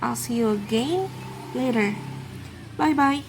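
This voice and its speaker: Gentle woman card reader. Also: fairly high pitch, expressive, slow, noisy background. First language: Indonesian. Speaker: tarot and oracle card reader. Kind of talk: channeled card reading for viewers.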